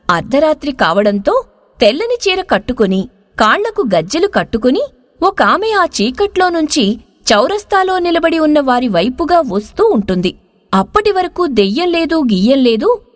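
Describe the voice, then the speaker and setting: A woman narrating a scripted moral story with expressive storyteller delivery. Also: sits high. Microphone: close studio voice-over.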